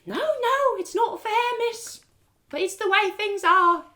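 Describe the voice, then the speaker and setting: A woman's voice: extremely high pitch, expressive, slow, bright, forceful tone, voice pushed up, exaggerated voice. A woman voicing a humble, anxious beggar woman with a folksy, deferential manner in a storybook reading. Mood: resigned.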